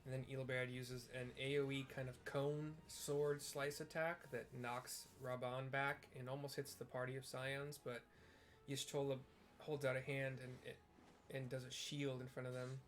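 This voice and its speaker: A man's voice: mid-range voice, normal speed. A man narrating game cutscenes in a casual, plain-spoken way. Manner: flat, plain-spoken describing.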